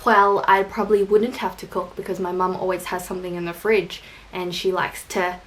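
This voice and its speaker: A woman's voice: on the low side, little pitch movement, normal speed, a bit bright, poor audio. A young woman with an Australian accent, chatting casually and rambling through questions for her vlog, with self-deprecating jokes. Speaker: vlogger. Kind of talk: casual tag video answering questions.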